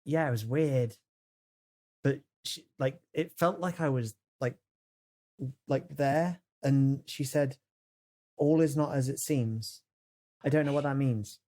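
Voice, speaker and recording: A woman playing a tabletop role-playing game, improvising casual in-character chat with halting, questioning delivery. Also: extremely low pitch, dark tone.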